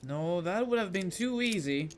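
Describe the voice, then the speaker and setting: A man's voice: high-pitched, expressive, moderate pace. A man giving casual let's play commentary, thinking aloud informally as he works through a game. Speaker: gamer playing a puzzle game.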